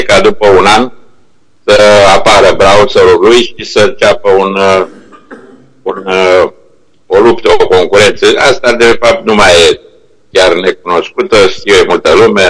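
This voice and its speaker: An older man with a very deep voice talks informally over a video call. He looks back on the past in a halting way, often pausing to search for the right word. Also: a bit bright, low-quality recording. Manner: hesitant, halting, searching for words. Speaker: internet company co-founder, remote guest speaker. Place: remote video call played to a meeting room. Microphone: video call shown on projection screen.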